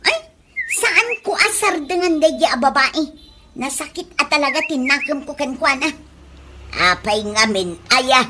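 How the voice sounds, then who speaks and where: Woman acting high-voiced, touchy Ilocano woman, noisy recording. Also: lively intonation, measured pace. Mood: indignant, whiny. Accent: Ilocano, from the Philippines. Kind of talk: Ilocano comedy radio drama acting.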